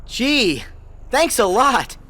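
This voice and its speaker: Earnest teenage boy hero, very expressive. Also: extremely high pitch, very slow, heavily acted. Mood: playful. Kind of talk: video game cutscene voice acting.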